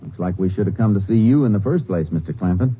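An actor playing a calm, matter-of-fact lawman who also narrates, in a 1950s radio drama. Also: fairly low pitch, quick pace, natural voice. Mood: steady. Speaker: Texas Ranger, narrator. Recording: phone-like old radio broadcast with clear hiss.

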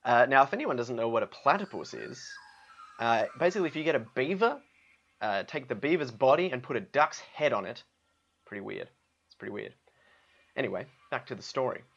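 A man's voice: medium pitch, animated, brisk, creaky, a bit bright, muffled recording. An Australian man in his thirties reading a children's story aloud with casual asides. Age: 30s. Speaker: guest story reader, actor.

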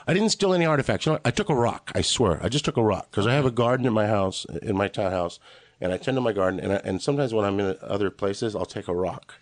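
A man's voice: on the low side, animated, fast, no room sound. A man in his late forties from Los Angeles with an American accent, telling casual, rambling, joking stories as a podcast guest. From Los Angeles, USA. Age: late 40s. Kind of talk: casual conversational storytelling.

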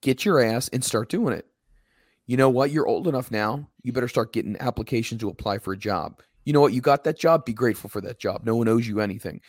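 A man co-hosting a casual wrestling podcast, a little hoarse, talking emphatically and ranting at times. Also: medium pitch, fast, no echo, studio quality. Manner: emphatic, ranting, animated when quoting others. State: slightly hoarse.

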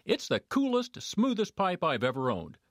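A man's voice: high-pitched, wildly swooping pitch, moderate pace, dry recording, clean studio sound. A man reading a folksy radio ad.

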